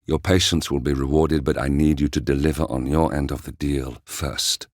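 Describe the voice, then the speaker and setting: Deep-voiced, grave covert operative. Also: male voice, fairly even intonation, dry recording, clean studio sound. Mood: calm, calculating. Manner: measured, deliberate, clipped and serious. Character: grave, controlled male covert operative, a calculating conspirator. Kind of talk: video game voice acting, mission briefings and story narration.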